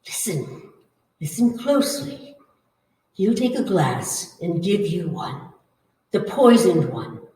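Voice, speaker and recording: A woman playing a menacing, bloodthirsty old female vampire in old-time radio horror style, with commanding, drawn-out phrasing. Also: on the low side, big pitch swings, mellow, a little hiss or hum. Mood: urgent, conspiratorial. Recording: echoey hall, far from the mic.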